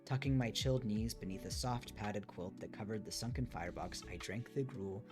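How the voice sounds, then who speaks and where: A man reading a memoir aloud on a stream in a measured, careful storytelling delivery. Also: fairly low pitch. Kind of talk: live read-aloud of a memoir, with casual asides. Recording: music behind the voice. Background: guitar music.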